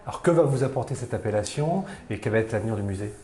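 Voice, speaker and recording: A man's voice: lowish voice, lively intonation, fast talker, dark tone. A man working as a local TV interviewer, putting questions to a guest. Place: indoor room in a museum. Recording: roomy, echoing indoor sound.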